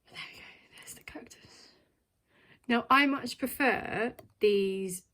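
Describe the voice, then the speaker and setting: A woman's voice: fairly high pitch, a little slow, pushed and bright. Chatty woman unboxing plants. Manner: chatty, thinking aloud with many ums. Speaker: plant vlogger. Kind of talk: casual unboxing chat.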